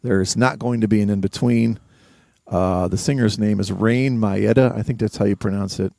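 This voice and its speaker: A man co-hosting a podcast, giving casual album commentary in a halting but enthusiastic manner. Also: on the low side, steady tone, creaky, warm tone, no room sound, clean studio sound. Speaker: podcast co-host.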